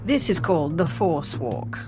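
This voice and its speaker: Game role: imperious dark sorceress, low register, controlled delivery, long reverb. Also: female voice, very animated, measured pace. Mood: cool command. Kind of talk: video game voice acting. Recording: long reverb with music underneath.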